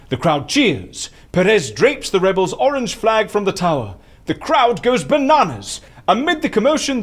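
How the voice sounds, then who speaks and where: An actor playing an excitable, easily exasperated man who masterminds schemes, with an emphatic, theatrical delivery in an audio drama. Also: high voice. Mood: excited. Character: excitable, exasperated male scheme-master plotting a staged assassination.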